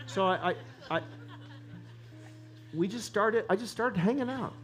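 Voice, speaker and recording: A man preaching a sermon, animated and rising to emphatic peaks. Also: high voice, unhurried, faint music behind, low-quality recording.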